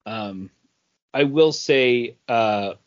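A man's voice: medium pitch, very slow, highs cut off. A man co-hosting a comic book podcast in casual, opinionated chat, blunt and given to swearing and acting out quotes.

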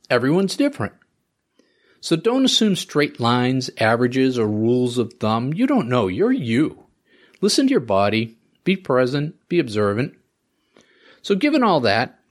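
A man in his fifties hosting a podcast alone, explaining in a wry, conversational way and often asking himself questions. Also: medium pitch. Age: 50s. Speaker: podcast host. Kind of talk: solo scripted podcast monologue.

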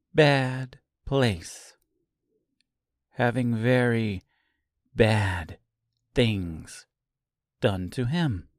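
A man voicing a wicked witch in the shape of an eerie girl, speaking with precise diction and calm, drawn-out menace in a storybook reading. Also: mid-range voice, no echo, studio quality.

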